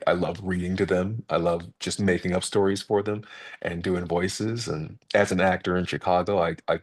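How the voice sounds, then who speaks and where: A male actor and narrator speaking politely and haltingly on a panel, with many fillers. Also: low-pitched, recorded from a distance. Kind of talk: panel chat.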